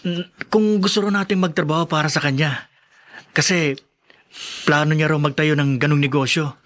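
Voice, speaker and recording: An actor plays a hopeful young working man with a fairly high voice. He is patient and reassuring, talking persuasively as in a radio drama. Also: fairly even intonation, normal speed, a bit bright, lightly acted. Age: young adult. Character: patient, hopeful young restaurant worker and friend. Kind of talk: Filipino radio drama acting.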